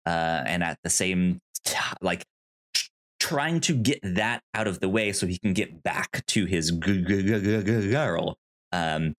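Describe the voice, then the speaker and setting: A man with a fairly low voice hosts a casual film podcast. He speaks very slowly and haltingly, often stammering over the start of a word before getting through it. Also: fairly bright tone. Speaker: podcast host. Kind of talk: casual movie discussion recap.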